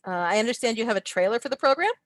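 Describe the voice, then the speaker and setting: A woman's voice: on the high side, animated, racing, dry recording, clean studio sound. A woman hosting a casual interview as the interviewer. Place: remote video call.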